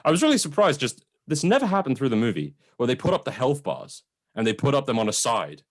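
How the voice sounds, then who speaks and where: A man's voice: medium pitch, huge pitch swings, quick pace. A man co-hosting a film review podcast in casual chat, talking in an informal, slangy way.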